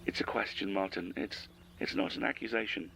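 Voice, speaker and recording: A calmly menacing superior in an audio drama, probing with measured, deliberate phrasing. The voice is low and heavily creaky, with lively swings in pitch. A faint noise sits on the taped recording. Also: male voice, low-quality recording. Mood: cool, probing.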